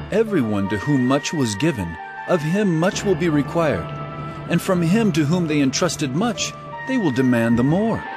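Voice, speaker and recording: An actor playing an earnest, commanding male religious teacher in a scripture audio drama, preaching with solemn emphasis. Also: on the high side.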